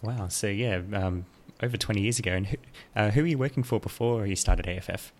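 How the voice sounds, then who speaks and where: A man hosting a podcast, asking friendly, brisk interview questions. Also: on the low side, a little mellow. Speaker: podcast host.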